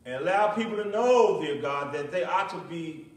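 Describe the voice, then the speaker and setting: A man's voice: high-pitched, expressive, moderate pace. Echoey middle-aged male pastor at a pulpit. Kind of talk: sermon with prayer and commentary. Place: small, nearly empty church, at a pulpit indoors. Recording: echoey church, distant microphone.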